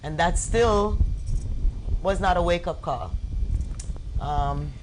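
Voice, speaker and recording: A woman in her forties recounting a personal story in conversation, halting and repeating words for emphasis. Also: lowish voice, slight background noise, low-quality recording. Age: 40s. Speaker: interview guest, bereaved mother.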